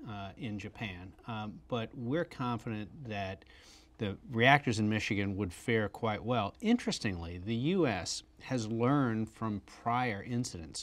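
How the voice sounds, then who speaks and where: An American man in his sixties speaking unscripted as an expert on a studio panel. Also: on the low side. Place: TV studio at a desk.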